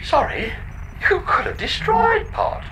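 An actor voicing a bickering, sardonic space-pod computer with a deadpan, sarcastic manner in a sci-fi audio comedy. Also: extremely high pitch, unhurried, very noisy, full character voice. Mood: indignant. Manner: sarcastic, deadpan, third-person self-reference.

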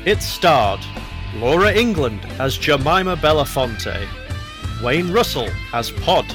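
A male announcer delivers scripted show credits. His voice is on the high side for a man, and loud music with plucked guitar plays behind him. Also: very animated, slow pace.

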